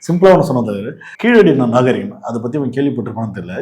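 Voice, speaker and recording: A man's voice: mid-range voice, sing-song, very fast, crowd noise. A man with a Tamil Nadu accent giving casual, opinionated political commentary as a host, explaining in an argumentative way.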